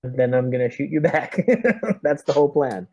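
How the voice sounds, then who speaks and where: A man playing a tabletop roleplaying game as squad leader, giving deliberate, military-style orders. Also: mid-range voice, fairly even intonation, darkish tone. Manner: deliberate, military briefing phrasing. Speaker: tabletop RPG player leading the squad as lieutenant.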